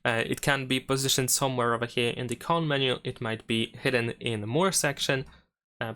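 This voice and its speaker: A man's voice: medium pitch, a bit bright. Man narrating a casual phone tutorial. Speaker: tech tutorial host.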